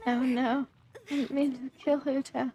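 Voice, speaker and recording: A woman's voice: high voice, little pitch movement, normal speed, warm tone. A young woman commenting casually on her gameplay, thinking aloud in a hesitant way with many fillers and trailing phrases. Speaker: game streamer.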